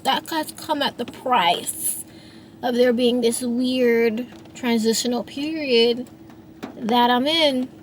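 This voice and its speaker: A woman's voice: a little high, takes it slowly, a little hiss or hum. A woman in her thirties, a singer and songwriter, talking through her feelings in a rambling, reflective monologue with many restarts. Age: 30s. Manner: rambling, frequent restarts and fillers.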